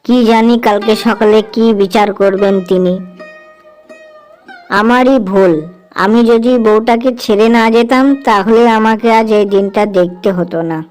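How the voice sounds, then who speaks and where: A woman's voice: medium pitch, fairly even intonation, unhurried, darkish tone, close to an everyday voice. A woman voicing an aggrieved young husband in a cartoon, insistent and indignant, with music underneath. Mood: regretful.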